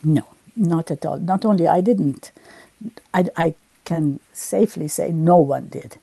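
An older woman from Iran giving reflective interview answers in a halting, deliberate way. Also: sits low, creaky, warm tone, slightly rough, no room sound. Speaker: interview guest, former government minister.

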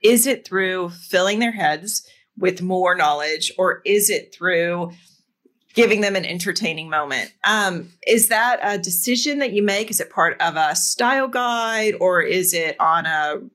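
A woman's voice: fairly low pitch, a little slow, a bit bright. A middle-aged woman hosting a podcast, asking long, chatty questions that come in several parts. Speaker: podcast host. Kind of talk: podcast interview.

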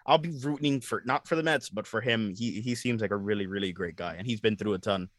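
A man hosting a casual sports podcast, talking in a rambling, animated way and often repeating his words. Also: lowish voice, fast, no room sound, studio quality. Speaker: podcast host.

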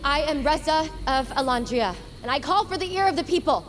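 An actress playing a bold, defiant huntress, speaking in blunt, forceful declarations in an audio drama. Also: female voice, sits high, animated, a little fast, a crowd in the background, poor audio. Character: bold, defiant young huntress challenging for power. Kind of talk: dramatic audio fiction acting. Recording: music behind the voice.

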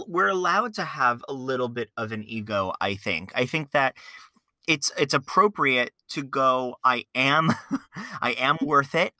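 A young man hosts a podcast interview, thinking aloud in a reflective, hesitant way full of fillers. His voice is very bright and sharp. It sounds close and completely dry. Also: medium pitch, dry recording. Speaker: podcast host. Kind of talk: casual interview chat.

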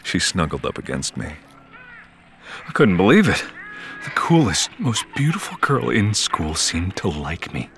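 A man's voice: low voice, very animated, measured pace, fairly bright tone, a little hiss or hum, full character voice. A man acting as a frank young narrator who tells his own story casually in an audio drama, with some swearing. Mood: frank, reflective. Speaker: narrator and main character.

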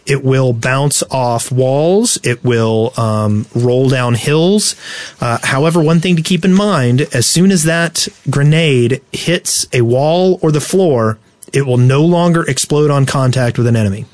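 A man's voice: medium pitch, a little slow, darkish tone, dry recording. A man co-hosting a casual gaming podcast, reading out facts in an explanatory way and adding his own asides. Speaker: podcast co-host.

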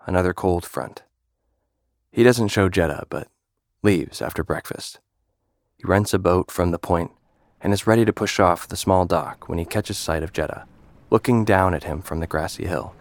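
A man's voice: low voice, moderate pace, no echo, clean studio sound. A man reading scripted narration for an audio drama.

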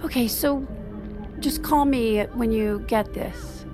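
A woman's voice: on the high side, slow pace, music in the background. Guarded, strained mother. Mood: tentative, hopeful. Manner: hesitant, defensive, conversational. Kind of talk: naturalistic audio drama dialogue.

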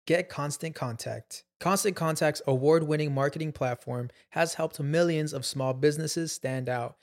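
A man's voice: on the high side, a little slow. A man giving a polished, upbeat scripted ad read as an announcer.

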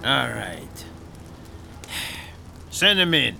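An actor playing a gruff 1930s Chicago mob boss with a Chicago accent, swearing and barking orders. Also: male voice, medium pitch, very animated, extremely slow, background noise, exaggerated voice. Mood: irritated. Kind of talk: audio drama acting. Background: music score.

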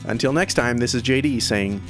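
A man's voice: mid-range voice, brisk. A man speaking as a music podcast host and interviewer in a casual, conversational style.

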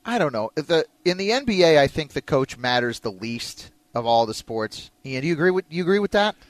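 Sports radio host, American male, pitch a touch high, dry close-miked studio sound, opinionated banter. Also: sing-song, a little fast, dry recording. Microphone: close studio mic.

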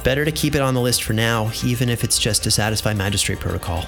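A man's voice: medium pitch, fast, gravelly, fairly bright tone, soft background music. A man playing a pensive fantasy researcher who mutters hesitant notes to himself in an audio drama. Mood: musing, resigned.

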